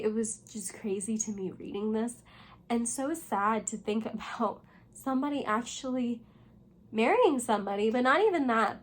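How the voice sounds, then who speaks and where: A young woman with a hoarse voice, still recovering from losing it, chatting casually about books in a vlog and rambling with lots of fillers. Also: a little high. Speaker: booktuber. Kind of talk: casual reading vlog chat.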